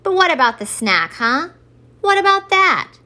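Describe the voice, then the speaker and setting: A woman's voice: very high-pitched, big pitch swings, moderate pace, bright, forceful tone, voice pushed up, exaggerated voice. A woman voicing a mopey, suspicious, stubborn old Santa Claus in a children's storybook, dramatic and insistent. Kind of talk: expressive children's storybook read-aloud.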